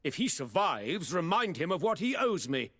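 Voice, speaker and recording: A man's voice: on the high side, very animated, moderate pace, a bit bright, big-room sound. A man playing an irritable, evasive merchant in a video game, curt and defensive. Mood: defensive, harried. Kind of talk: video game dialogue acting.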